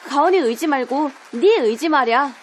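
Caring, determined teenage girl trainee, woman acting for audio drama: fairly high voice, earnest, measured delivery. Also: lively intonation, some chatter behind, a little hiss or hum. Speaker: amateur voice actor.

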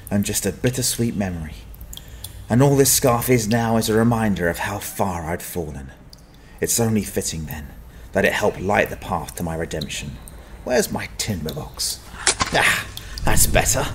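A man's voice: lowish voice, sing-song, measured pace, slight background noise. A man playing a sardonic young fallen-noble adventurer, telling his story in wry, dramatic audio drama narration. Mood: muttering, hesitant.

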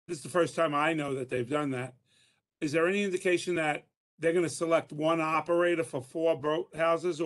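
A man asking questions in a video-call board meeting, hesitant with stumbling restarts. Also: a little high.

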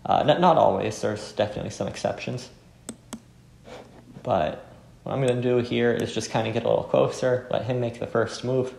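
A man's voice: mid-range voice, moderate pace, vocal fry, a little mellow, a little hiss or hum. A man giving casual gameplay commentary as host, hesitant and self-effacing. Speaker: game playthrough host. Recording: roomy with faint music.

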